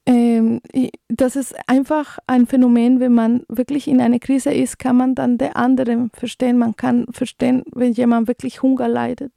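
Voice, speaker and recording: A woman's voice: a little high, flat delivery, moderate pace, vocal fry, few pauses, warm tone, no room sound, studio quality. Colombian woman hosting community radio, hesitant. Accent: Colombian Spanish. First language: Spanish. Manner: hesitant, many fillers and restarts. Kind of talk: community radio commentary and news reading.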